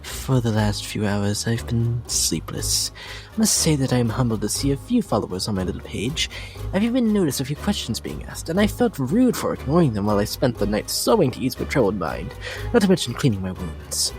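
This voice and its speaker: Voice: medium pitch, big pitch swings, brisk, a little mellow, natural voice. A performer voicing a vain, lovesick, unhinged lady pony in a dramatic reading, with a prim, theatrical, affected delivery. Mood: dainty, melodramatic. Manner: theatrical, genteel, fussy, affected, swooping. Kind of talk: dramatic reading of a fan-made character blog. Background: dramatic instrumental music.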